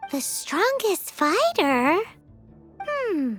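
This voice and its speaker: A woman voicing a tiny, excitable, childlike fairy companion in a video game, squeaky and exclamatory. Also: drawn-out words, soft background music, exaggerated voice. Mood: curious, amazed. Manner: exclamatory, refers to herself in third person.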